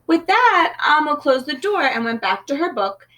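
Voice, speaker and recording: A woman reading a children's picture book aloud in an expressive storytelling manner. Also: high voice, moderate pace. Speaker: storybook reader.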